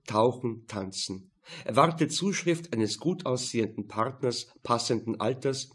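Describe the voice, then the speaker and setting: A man's voice: medium pitch, husky. A man reading a crime novel as scripted narration. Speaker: audiobook narrator.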